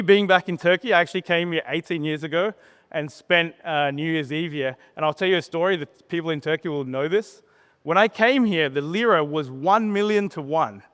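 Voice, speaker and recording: A man gives an informal, plain-spoken conference talk, telling personal stories with pauses and tag questions. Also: on the high side, a bit bright, clean tone. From born in Sri Lanka, grew up in Australia. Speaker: startup co-founder, conference speaker. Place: conference stage in a large hall. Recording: reverberant hall PA sound.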